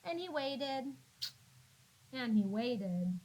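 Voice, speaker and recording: A woman narrating a children's storybook as a teacher would, expressively, with drawn-out words and dramatic emphasis for young listeners. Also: on the high side, very animated, a little mellow, slight background noise, low-quality recording. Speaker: classroom teacher reading aloud.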